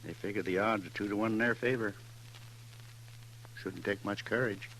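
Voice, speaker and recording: A man's voice: fairly low pitch, steady tone, moderate pace, fairly bright tone. An actor playing an anxious, plain-spoken American frontier man in a 1950s radio western, folksy and full of questions. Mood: uneasy, doubtful. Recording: noisy 1950s radio recording.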